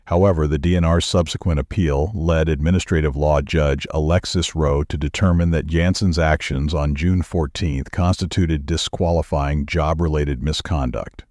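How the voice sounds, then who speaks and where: A man reading news stories as a podcast producer, with a measured, even newsreader delivery. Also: rumbling low, dry recording, clean studio sound.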